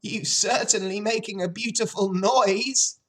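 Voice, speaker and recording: A snappish old ewe from a storybook, acted by a woman, pitched high and pushed out firmly. She is blunt, grimly knowing and matter-of-fact. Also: very animated, slow pace, breathy edge, heavily acted. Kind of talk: storybook character voice.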